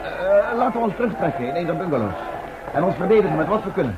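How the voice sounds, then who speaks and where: An actor playing a practical, educated time traveller in a vintage Dutch radio drama, with a matter-of-fact manner. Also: male voice, high-pitched, big pitch swings, quick pace. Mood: urgent. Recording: dull old radio, loud noise, crowd.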